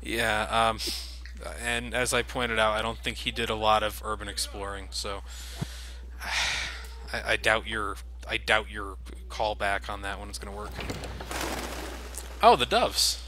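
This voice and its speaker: A man's voice: on the low side, fairly even intonation, a little slow, bright, forceful tone. A young man casually commenting over game cutscenes, joking and critical of the game as the host. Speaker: let's play host.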